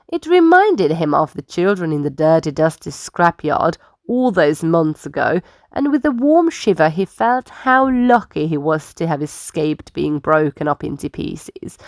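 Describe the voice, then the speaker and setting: Female storyteller for children's picture book: expressive, carefully phrased, very lively intonation, dry close recording. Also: on the low side, no room sound. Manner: careful, animated phrasing for young listeners. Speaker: storyteller reading aloud.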